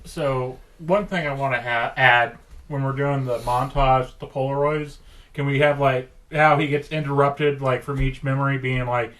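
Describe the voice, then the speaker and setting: Man with medium-pitched voice, casual co-host. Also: fairly even intonation, normal speed, fairly bright tone. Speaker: podcast co-host. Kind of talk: casual chat.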